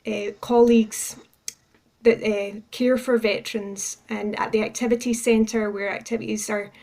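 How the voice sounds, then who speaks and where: A woman's voice: medium pitch, flat delivery, a little slow, darkish tone. A woman speaking as a charity representative in an informative radio interview, with an earnest, appreciative way of talking. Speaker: charity campaigns manager, interview guest.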